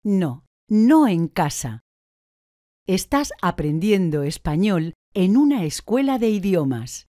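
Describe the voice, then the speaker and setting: A woman answers drill questions very slowly, with a mellow, lowish voice and lively rises and falls in pitch. Every word is carefully articulated. The recording is close and dry. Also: dry recording. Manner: slow, deliberate, clearly articulated answers. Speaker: language course speaker.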